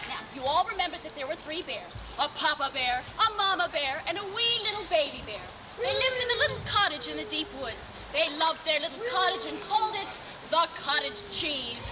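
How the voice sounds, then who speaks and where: A woman's voice: high voice, animated, a little fast, very noisy. A woman storyteller narrating a children's theatre show, playfully coaxing the audience with questions. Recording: live theatre recording with loud music.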